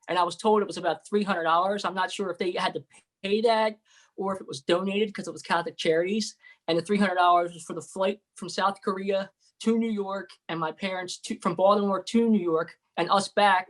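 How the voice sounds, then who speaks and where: Fast-talking man, earnest storyteller. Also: sits high, fairly bright tone. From United States. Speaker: guest investor. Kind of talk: storytelling interview answer.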